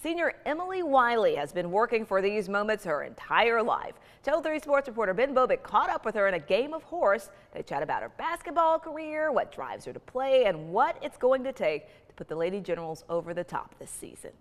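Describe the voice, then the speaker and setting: Animated female news anchor with long reverb. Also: on the high side, brisk, few pauses. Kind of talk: scripted news introduction.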